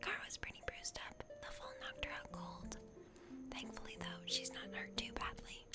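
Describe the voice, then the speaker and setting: Whispering woman narrating a game over music. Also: moderate pace. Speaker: host of an ASMR let's play.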